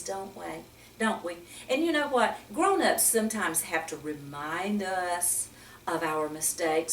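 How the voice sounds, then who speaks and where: An older woman hosts a children's storytime with an unhurried, very expressive delivery. She speaks like a gentle teacher, often putting questions to her young listeners. The sound is echoey and picked up from some distance. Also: medium pitch, slight background noise. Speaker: children's story reader and host. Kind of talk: storytime talk to young children with Bible lesson. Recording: roomy echo, distant microphone.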